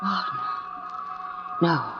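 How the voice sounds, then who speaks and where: An actress playing a frightened, anxious wife, pleading melodramatically in an old-time radio drama. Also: female voice, very slow, music in the background. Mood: horrified. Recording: muffled old radio recording.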